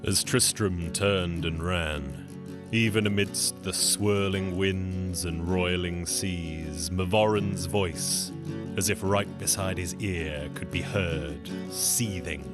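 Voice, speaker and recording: A man's voice: deep voice, fairly even intonation, slow pace, over loud music. A man performing theatrical folktale storytelling, with dry, wry asides.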